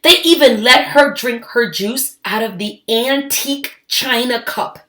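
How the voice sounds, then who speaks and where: A woman reading a storybook aloud to children, expressive and emphatic, adding her own asides between the lines. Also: on the high side, a little slow. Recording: echoey, roomy sound.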